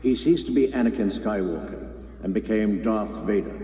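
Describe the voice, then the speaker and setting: An actor playing a grave, wise older male mentor's spirit, speaking in a measured way in a storybook drama. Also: fairly low pitch, expressive, warm tone, lightly acted. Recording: echoey, long ghostly reverb.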